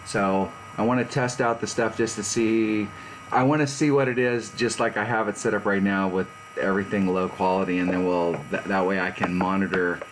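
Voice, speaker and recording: A man's voice: lowish voice, steady tone, normal speed, background noise. A man in his fifties chatting casually and rambling on a live stream from his garage. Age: 50s. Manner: rambling, laid-back, self-deprecating. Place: home garage.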